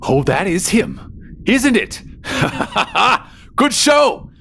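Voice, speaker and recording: Man playing a creaky, theatrical, haughty adventurer. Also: high-pitched, very animated, extremely slow, a bit bright, slight background noise, exaggerated voice. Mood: gleeful, amazed. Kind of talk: fantasy audio drama acting.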